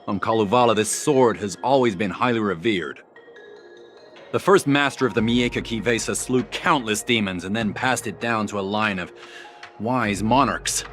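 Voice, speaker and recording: An actor playing a proud, formal foreign envoy in a video game, easily affronted, with an indignant, declamatory manner. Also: male voice, fairly low pitch, a bit bright, close to an everyday voice. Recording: music behind the voice.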